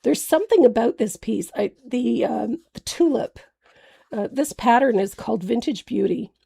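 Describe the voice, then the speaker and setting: A hoarse woman with a gravelly voice, chatting with viewers by name while demonstrating mixed-media painting on a live stream. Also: a little high, normal speed. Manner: chatty, greets viewers by name, warm fillers. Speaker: craft painting instructor.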